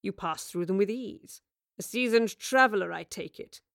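A woman's voice: medium pitch, moderate pace, vocal fry, a bit bright, no room sound, studio quality. A woman voicing a malicious, impatient man in an audio drama, with a sarcastic, condescending drawl. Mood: smug, sinister. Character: malicious, impatient, deep-voiced man, smug villain. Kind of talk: audio drama villain acting.

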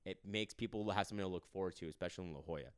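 A man's voice: deep voice, racing, clear, resonant tone, no room sound, studio quality. A man hosting a podcast, chatting casually and telling stories while acting out the people he quotes. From Arizona. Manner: storytelling, acts out quoted people. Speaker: podcast host and stand-up comedian.

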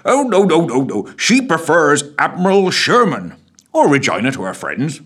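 A man's voice: high voice, very animated, slow, a bit bright, voice pushed up, heavily acted. A man voicing a jolly, rotund male police constable who keeps chortling and laughing as he talks.

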